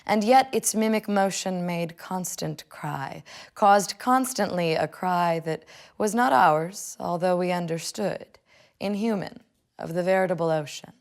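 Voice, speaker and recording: Young American woman, slightly low voice with marked vocal fry, measured delivery for reflection and poetry. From United States, Illinois. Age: 20s. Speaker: law student reading a poem. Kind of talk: personal reflection and poetry reading. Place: indoors, furnished living room.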